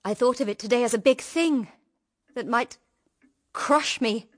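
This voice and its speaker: High-voiced, ardent young British woman. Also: unhurried. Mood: tender, wondering. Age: young adult. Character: ardent, devoted young woman in love with brother-in-law. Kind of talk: radio drama acting.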